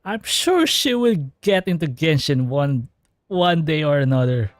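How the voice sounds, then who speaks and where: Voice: medium pitch, very animated, unhurried, no room sound, clean studio sound. A streamer giving casual, rambling commentary while playing a story game. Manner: chatty, rambling fan theorizing. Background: game music.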